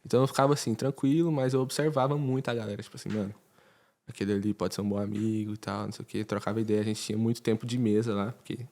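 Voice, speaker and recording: A man's voice: lowish voice, racing, creaky. A man from Brazil, a podcast guest, chatting casually and telling stories with frequent laughter. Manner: laughing, animated storytelling. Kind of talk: casual chat.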